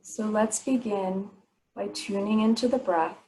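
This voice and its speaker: A woman teaching guided yoga nidra meditation, giving soothing, unhurried instructions with pauses between phrases. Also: mid-range voice, a little mellow, a little hiss or hum, low-quality recording.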